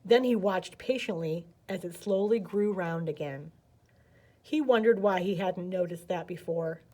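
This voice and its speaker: A woman reading a picture book aloud as a children's storyteller, with animated, dramatic emphasis. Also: on the low side, a little slow.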